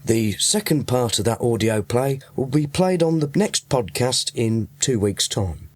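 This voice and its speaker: A male radio podcast host delivers a scripted sign-off. His medium-pitched voice moves in lively, varied intonation, and the sound is dry with no room reflections. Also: measured pace, no echo. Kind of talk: scripted programme outro.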